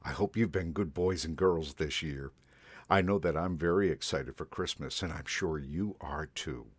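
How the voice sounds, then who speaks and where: A man in a Santa persona reading a picture book aloud to children in a gentle, expressive way. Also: extremely low pitch, normal speed, breathy edge, dry recording. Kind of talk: storybook read-aloud to children.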